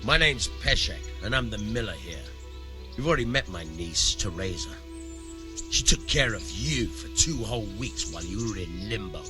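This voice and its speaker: An actor playing a shrewd, amoral village miller in a medieval video game, gruff, coarse and slyly persuasive. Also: male voice, lowish voice, animated, moderate pace, over music, close to an everyday voice. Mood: businesslike. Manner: sly, persuasive, coarse-tongued. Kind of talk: video game dialogue acting.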